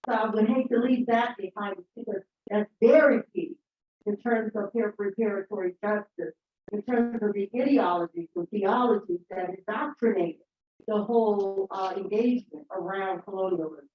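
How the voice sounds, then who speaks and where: A woman's voice: mid-range voice, moderate pace. A woman in the audience giving an earnest, well-organized comment at a summit, point by point. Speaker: audience member. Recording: echoey room, dull lo-fi sound.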